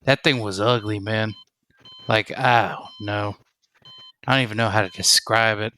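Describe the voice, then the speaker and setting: Man playing a shaken, sharp-voiced young-sounding army survivor. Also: fairly low pitch, steady tone. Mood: shaken, rattled. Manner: halting, slangy, says "man" and "like" often. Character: shaken male army soldier, sole survivor, wary and informal. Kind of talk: improvised tabletop roleplay.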